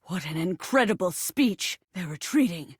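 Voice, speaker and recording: A woman's voice: fairly low pitch, animated, moderate pace, husky, dry recording, clean studio sound. A woman voicing a proud alien warrior in a pulpy space play within a game, grand and melodramatic. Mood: solemn, grand. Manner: grand, theatrical, declamatory. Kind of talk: melodramatic sci-fi game voice acting.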